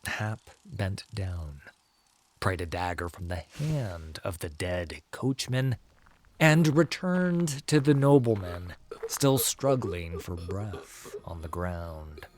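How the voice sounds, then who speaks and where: A man narrating a dramatic fantasy story in a measured, solemn manner. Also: sits low, animated, darkish tone, slight background noise.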